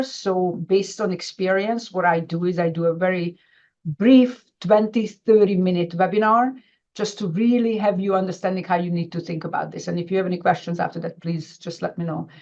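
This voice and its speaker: A middle-aged woman with a Hungarian accent, presenting an informal webinar as a financial advisor, halting and rephrasing as she explains. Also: fairly low pitch, fairly even intonation, a little mellow. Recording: dull, lo-fi webinar audio.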